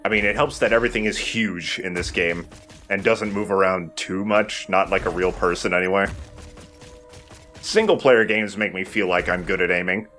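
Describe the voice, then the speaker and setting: A man's voice: low voice, moderate pace, bright, forceful tone. A man giving casual, explanatory gameplay commentary in an informal way, with some swearing. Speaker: let's play host and player. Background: game music.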